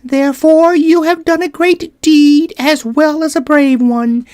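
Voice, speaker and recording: A man voicing a tiny, proud female mouse queen in a squeaky falsetto for a storybook reading. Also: steady tone, unhurried, a little mellow, no echo, studio quality. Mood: squeaky, regal. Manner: high, airy falsetto.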